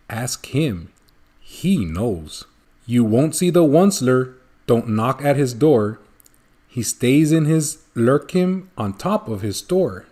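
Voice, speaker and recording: A man reading a rhyming children's storybook aloud in an expressive narrating style. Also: mid-range voice. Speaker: storybook reader.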